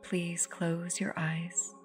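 A woman with a fairly low voice guides a meditation softly and soothingly. She takes her time, leaving pauses and letting phrases trail into breathiness. Singing bowl music plays clearly behind her. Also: level tone. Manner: soothing, unhurried, with long pauses. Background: meditative music with singing bowls.

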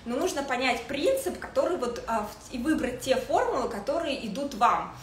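A woman in her thirties giving a casual livestream style lesson as a stylist, speaking haltingly with searching pauses. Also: sits high, lively intonation. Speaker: fashion stylist hosting a livestream. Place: indoors in a home living room with a desk. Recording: echoey room with faint noise.

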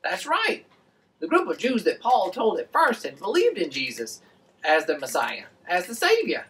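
A woman voicing an excitable, friendly boy puppet, explaining things in an animated way for a children's show. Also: lowish voice, normal speed, far from the mic, a little hiss or hum. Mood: earnest.

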